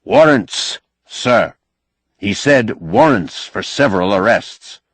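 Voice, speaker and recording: Guy doing an indignant wordy doctor for an audiobook, pompous speechifying, slow and forceful, dry sound. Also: on the low side, expressive, no room sound, exaggerated voice. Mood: accusing, forceful. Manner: pompous, ornate, forceful oratory. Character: wily, indignant, eloquent male doctor, master of his house. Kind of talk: audiobook character acting.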